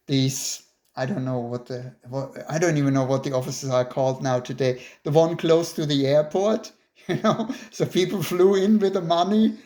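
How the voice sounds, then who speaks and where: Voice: mid-range voice, darkish tone. An interviewer from Germany, speaking casually and chattily with frequent laughs between remarks.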